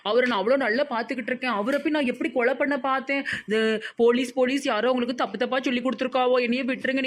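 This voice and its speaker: Lady doing a whiny boastful village wife for a cartoon, tirunelveli tamil accent, really fast, highish voice. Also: natural voice. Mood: wailing, indignant. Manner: whiny, pleading, melodramatic. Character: boastful, dramatic young newly married village woman. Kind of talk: comedy cartoon dialogue.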